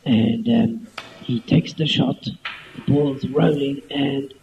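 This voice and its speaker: Man acting a bumbling sports commentator, halting, unhurried, mid-pitched, noisy homemade recording, spoof. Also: fairly even intonation. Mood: deadpan. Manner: slow, halting, muddled. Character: bumbling male snooker TV commentator. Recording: dull, noisy homemade tape.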